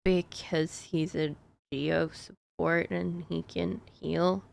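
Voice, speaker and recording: A woman's voice: fairly low pitch, level tone, slow, gravelly. A woman streamer chatting casually while she plays, answering her chat with plenty of fillers and little laughs.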